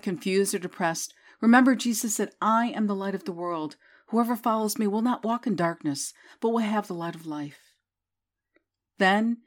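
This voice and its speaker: A woman reads scripture and prayers as a guided meditation. Her delivery is slow and soothing. Her voice sits at a middle pitch. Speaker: prayer leader.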